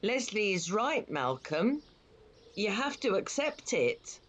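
Actress playing middle-aged British mother, loving, exasperated, emphatic, lively swooping pitch, audio drama. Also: takes it slowly. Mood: reassuring. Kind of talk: audio play acting.

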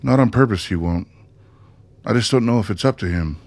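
A man's voice: lowish voice, a little fast, darkish tone, lightly acted. A man playing a huge, awkward, brooding young man in a radio drama, speaking tersely and guardedly. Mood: worried, sober.

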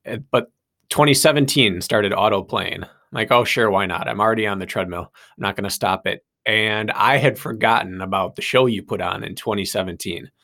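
A man's voice: fairly low pitch, fairly quick, creaky, bright, forceful tone. A man hosting a podcast, chatting easily and telling stories to his guest. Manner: easygoing, storytelling, laughs along. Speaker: podcast host. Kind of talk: casual podcast interview.

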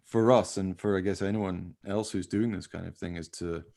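A man's voice: deep voice, fairly even intonation, normal speed, gravelly, a little mellow. A man in casual, informal conversation, talking in a rambling way as a podcast host. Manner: rambling, informal, often hedging with "you know". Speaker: podcast host, radio play writer. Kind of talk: casual chat.

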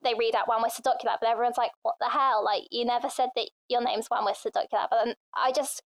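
Chatty young woman, smooth higher voice. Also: fairly even intonation, fast talker, no room sound, studio quality. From England. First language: Thai. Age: young adult. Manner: chatty, frequent "like" and "um" fillers. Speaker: interview guest. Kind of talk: casual personal interview.

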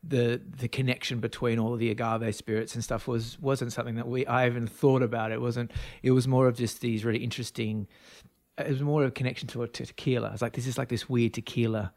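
An Australian man, likely in his thirties, talks casually as an interview guest, circling back with fillers and restarts. His voice has a gravelly, creaky edge. The recording is dry and close. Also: medium pitch, level tone, fast talker, a little mellow, dry recording. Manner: rambling, many fillers and restarts. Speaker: guest, spirits brand founder.

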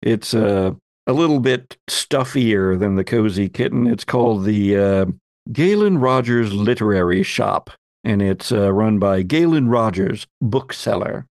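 A man narrating a tabletop roleplaying game as game master, pausing and deliberate as he sets out scenes and questions. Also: fairly low pitch, expressive, darkish tone, no room sound, studio quality.

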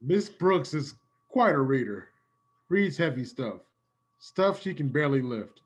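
A man's voice: medium pitch, big pitch swings, measured pace, slightly rough. A man playing a fumbling playboy bachelor in a comedic radio play. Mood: tipsy, teasing. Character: tipsy playboy bachelor devoted to his dog.

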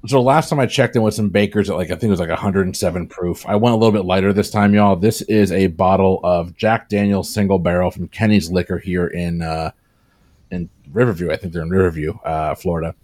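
A man's voice: low voice, steady tone, brisk, a little mellow, no echo. A man on a podcast panel chatting in a laid-back, drawling way. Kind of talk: casual podcast chat.

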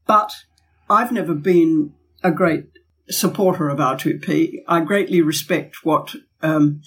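Husky-voiced woman making a pointed case. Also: deep voice, measured pace. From Australia. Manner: emphatic, pointed, with rhetorical questions. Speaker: guest, former diplomat and academic. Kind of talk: podcast interview, arguing a case.

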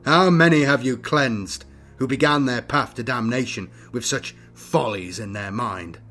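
Man playing stern, forceful young inquisitor. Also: lowish voice, expressive, slow pace, breathy edge, soft background music, full character voice. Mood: contemptuous. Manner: firm, forceful, declamatory. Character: young male inquisitor, righteous, stern and accusing.

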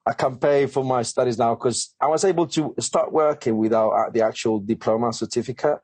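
Man, interview guest, chatty, lots of fillers, middle pitch, dry close recording. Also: fairly quick, a little mellow, slightly rough, no room sound. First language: not English. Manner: chatty, many fillers and restarts. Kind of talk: conversational interview.

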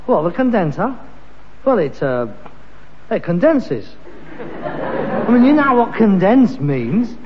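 Casting: blustering, exasperated London sitcom son, very animated pitch, noisy vintage recording. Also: male voice, slow pace, long pauses, long reverb tail, muffled recording, crowd noise, full character voice. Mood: blustering, defensive. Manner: pompous, blustering delivery. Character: pretentious, defensive, easily exasperated grown son in a sitcom. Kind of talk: vintage British sitcom acting.

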